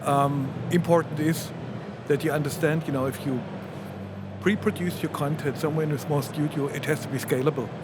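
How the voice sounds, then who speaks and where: A man's voice: on the high side, fairly even intonation, a little fast. A man on a live seminar panel, explaining informally with non-native English phrasing. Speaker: expert panelist. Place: live trade show seminar. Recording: very loud background noise.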